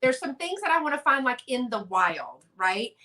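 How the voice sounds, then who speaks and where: A woman, a guest on a casual live chat, talking with rambling enthusiasm in quick bursts over a video call. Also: high-pitched, big pitch swings, crowd noise.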